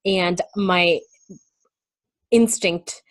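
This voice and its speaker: A woman speaking as an interview guest in a reflective, casual discussion, thinking aloud in a halting way. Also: lowish voice, fairly even intonation, no echo, poor audio.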